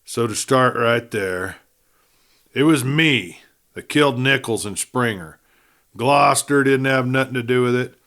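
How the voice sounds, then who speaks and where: A man's voice: a little slow, fairly bright tone. A man voicing a dying Western outlaw in an audiobook, desperate and sneering, speaking urgently in a rustic cowboy drawl as he gasps out a confession. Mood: urgent pleading. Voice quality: low, breathy. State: gasping, near death. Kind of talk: audiobook character voice.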